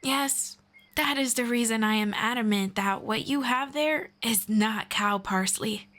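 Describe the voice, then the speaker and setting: A woman playing a friendly, knowledgeable elf in a scripted roleplay, speaking to the listener in a formal, measured, gently explanatory way. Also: on the high side. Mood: friendly, instructive. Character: friendly, knowledgeable female elf guiding a human. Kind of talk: scripted roleplay audio, one-sided conversation with listener.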